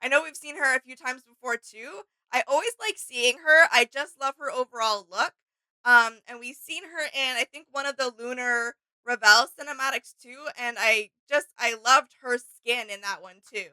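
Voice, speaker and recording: A woman's voice: high-pitched, moderate pace, bright, forceful tone, low-quality recording. A woman co-hosting a reaction video, giving casual commentary in a chatty style.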